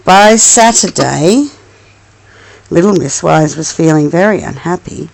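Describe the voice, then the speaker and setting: A woman reads a children's bedtime story at an unhurried pace, her pitch moving up and down freely. She is expressive and affectionate, slipping between narration and gentle, coaxing asides to her child. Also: gravelly, poor audio.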